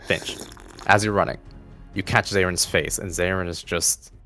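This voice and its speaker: A man with a very low, creaky voice serves as game master, narrating a tabletop game. Faint music plays underneath. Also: steady tone, moderate pace, bright, forceful tone.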